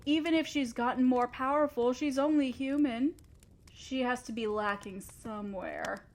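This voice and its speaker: A scheming evil queen, acted by a woman for a roleplay audio drama. Her voice sits on the high side, with an imperious, theatrical delivery. She talks down to her listener with haughty condescension and a begrudging fondness. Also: normal speed, darkish tone. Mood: brooding, calculating.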